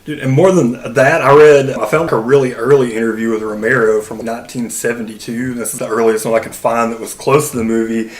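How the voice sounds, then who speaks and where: A man's voice: mid-range voice, fairly even intonation, a little fast, few pauses, reverberant, low-quality recording. A man co-hosting a podcast, chatting eagerly and saying "like" a lot. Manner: enthusiastic, fills talk with "like". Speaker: podcast co-host. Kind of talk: casual chat.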